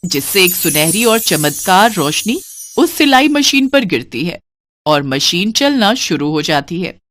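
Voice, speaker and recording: A woman's voice: lowish voice, very animated, measured pace, fairly bright tone, a little hiss or hum. A woman narrating a scripted cartoon story as the storyteller.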